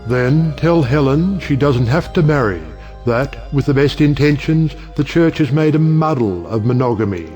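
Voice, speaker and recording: An actor playing a wry, provocative middle-aged judge and uncle in an audio play, speaking in a rhetorical, oratorical way. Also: male voice, medium pitch, animated, moderate pace, hardly any pauses, a little mellow, close to an everyday voice. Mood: persuasive, confident. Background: music.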